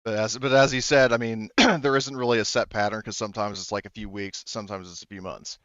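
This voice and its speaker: A man working as game master, narrating a tabletop game off the cuff in a halting, rambling, self-correcting way. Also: fairly low pitch, fairly even intonation, fairly bright tone, no room sound, highs cut off.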